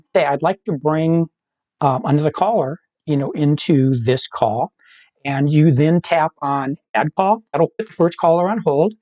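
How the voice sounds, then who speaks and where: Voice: mid-range voice, a little mellow, no echo. Methodical instructor, slightly dull dry audio. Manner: step-by-step, often checking with "right?" and "all right". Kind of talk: live online tech training, explaining steps.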